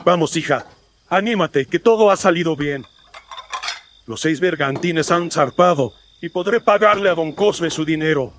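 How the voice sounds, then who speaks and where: A man's voice: a little high, normal speed, fairly bright tone, a little hiss or hum, voice pushed up, close to an everyday voice. A man playing a devout, protective middle-aged father in a melodramatic radio drama, earnest and formal. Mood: relieved.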